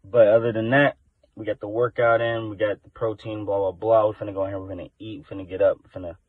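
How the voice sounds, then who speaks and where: A man's voice: fairly low pitch, level tone, quick pace, slightly rough, dry recording, phone-quality sound. A man in his twenties, a vlogger and rapper, talking in a laid-back, slangy, hyped way into a phone in his car. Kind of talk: casual vlog talk. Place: inside a parked car. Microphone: phone held close.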